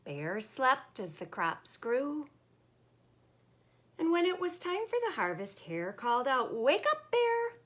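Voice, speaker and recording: Animated, slow-paced woman storyteller. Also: fairly high pitch. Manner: animated, deliberate, emphatic. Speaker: storyteller reading a picture book. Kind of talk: expressive children's read-aloud narration.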